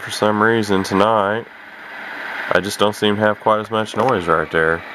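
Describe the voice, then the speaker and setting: A very low-voiced man chats casually while he tunes a receiver at home. He rambles in a halting, self-deprecating way, and loud static hisses behind him. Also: steady tone. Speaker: radio hobbyist. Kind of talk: casual self-recorded commentary.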